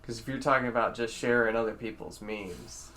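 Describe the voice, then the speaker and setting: A man's voice: mid-range voice, fairly even intonation, fairly quick, pushed and bright. A man hosting a podcast, talking in an easygoing casual way and laughing readily. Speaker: podcast host.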